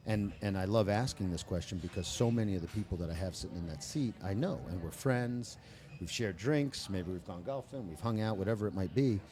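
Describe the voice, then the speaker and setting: A man with a fairly low voice hosts a relaxed podcast chat in a restaurant. He talks in a lively, joking way, teasing his guest and keeping the conversation moving. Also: brisk. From New York. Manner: chatty, joking, lively interviewer. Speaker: podcast host. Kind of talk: casual interview chat.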